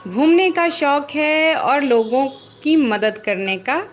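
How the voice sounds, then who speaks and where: A woman from Jharkhand introduces herself as a radio presenter. She speaks slowly in a fairly high voice, with music faintly present. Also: highs cut off. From Jharkhand, India. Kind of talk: self-introduction to listeners.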